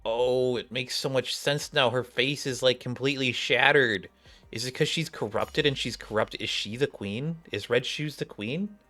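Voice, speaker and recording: A man with a mid-range voice reacts casually as a game streamer. He muses out loud in loose, conversational asides. Game music plays softly behind him. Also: medium pitch, moderate pace. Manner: musing, thinks aloud.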